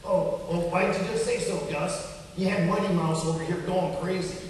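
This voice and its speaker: A distant, heavily echoing recording of a wisecracking puppet guy played by a man. He talks high for a man, slangy and skeptical, with a sarcastic edge suited to a children's puppet show. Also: fairly even intonation, normal speed, lightly acted. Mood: skeptical, indignant. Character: sardonic, gruff-minded, wisecracking male puppet. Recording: echoey hall from far off, faint noise.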